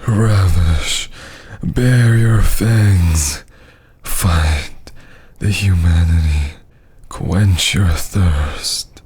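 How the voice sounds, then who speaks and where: A man voicing a domineering, seductive vampire lord in an intimate ASMR roleplay, with a husky, often half-whispered voice and a teasing, condescending manner. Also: deep voice, darkish tone, full character voice. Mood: hungry, pleased. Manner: slow, teasing, condescending drawl.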